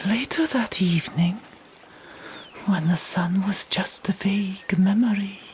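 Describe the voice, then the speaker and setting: A woman narrating an audiobook in a hushed ASMR whisper, with deliberate, dramatic phrasing. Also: mid-range voice, a little hiss or hum.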